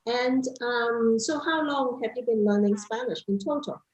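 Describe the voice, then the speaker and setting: Lady asking questions, highish voice, expressive and giggly, casual chat. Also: moderate pace, echoey room, highs cut off. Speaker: interviewer, travel vlogger.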